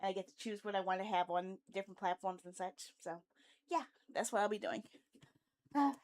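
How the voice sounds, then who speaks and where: A woman with a medium-pitched voice talks casually as a game streamer to viewers. Her delivery is rambling and candid, with a stubborn, grumbling streak. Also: a little fast. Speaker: video game livestreamer, host. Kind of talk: casual livestream chat.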